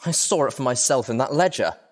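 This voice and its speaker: A man's voice: medium pitch, lively intonation, brisk, voice pushed up, lightly acted. A man voicing a small young female pony, an earnest and determined adventurer who speaks emphatically and pleadingly. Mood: grim.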